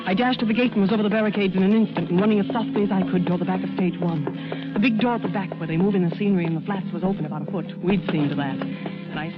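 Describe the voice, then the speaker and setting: An actress playing a tense woman who narrates her part in a murder plot, in deliberate 1940s radio suspense style. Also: medium pitch, natural voice. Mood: urgent, dread. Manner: dramatic, deliberate, suspenseful delivery. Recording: muffled vintage radio recording, loud music behind.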